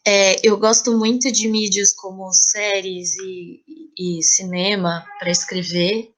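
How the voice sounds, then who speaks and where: A woman with a Brazilian Portuguese accent talks as a podcast guest. Her voice sits at an average pitch for a woman, and her delivery is casual and conversational. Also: level tone, slow. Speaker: interview guest, playwright.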